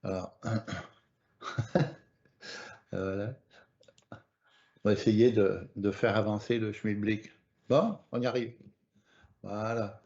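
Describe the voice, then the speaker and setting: A man's voice: fairly low pitch, fairly even intonation, slow pace, long pauses, a little mellow, lo-fi sound. A man from France teaching astrology in a live online lesson, hesitant and often repeating words.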